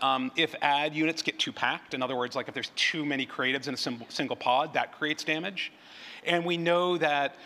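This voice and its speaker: Fast, bright-voiced man in a reverberant hall. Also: mid-range voice. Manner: informal, conversational explaining. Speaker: conference speaker. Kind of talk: unscripted presentation and audience Q&A. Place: conference hall with audience.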